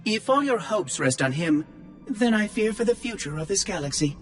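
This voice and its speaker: An actor playing an ancient machine oracle in a game cutscene, measured and cautionary. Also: male voice, high voice, expressive, faint music behind. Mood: grave. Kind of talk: video game cutscene acting.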